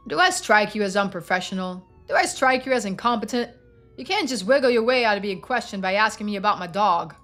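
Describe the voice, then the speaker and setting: A stern lawman voiced by a woman for a story game, officious and dry, with a bright, forceful edge. He sounds exasperated and sarcastic, with faint music underneath. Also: high voice, animated, a little fast. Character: stern, exasperated, by-the-book male police deputy.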